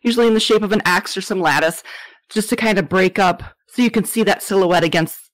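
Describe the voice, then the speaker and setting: Woman, medium pitch, casual podcast guest, enthusiastic explainer, dry sound. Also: fast, no room sound, rough recording. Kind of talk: casual interview chat.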